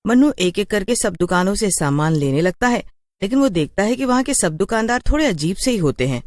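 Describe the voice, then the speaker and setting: A woman's voice: medium pitch, animated, a little fast, darkish tone, dry recording, clean studio sound. A woman storyteller giving scripted narration of a horror tale.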